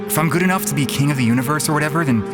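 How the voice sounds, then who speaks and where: A man's voice: fairly high pitch, racing, close to an everyday voice. Man playing a jaded racing pilot over music. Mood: resigned, determined. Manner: blunt, colloquial, world-weary. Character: jaded, blunt male antigravity racing pilot narrating his story. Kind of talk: first-person audio drama narration and acting.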